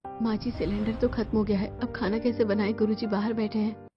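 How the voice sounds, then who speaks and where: A woman playing a dutiful, respectful young daughter-in-law in a cartoon, speaking politely and gently. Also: mid-range voice, little pitch movement, brisk, close to an everyday voice. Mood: worried. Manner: polite, soft-spoken. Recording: dull lo-fi sound with music behind.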